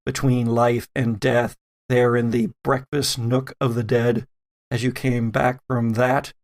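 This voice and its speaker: A man's voice: medium pitch, level tone, measured pace. A man acting as game master, narrating a tabletop role-playing game dramatically with lingering, descriptive phrasing.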